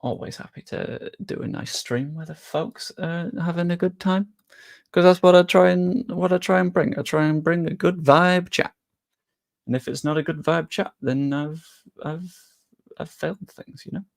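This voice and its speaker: Gravelly-voiced English streamer, easygoing chat. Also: male voice, a little high, normal speed. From England. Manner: chatty and easygoing, with frequent fillers and British slang. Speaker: live streamer. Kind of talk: casual live stream chat, reading and answering viewer questions.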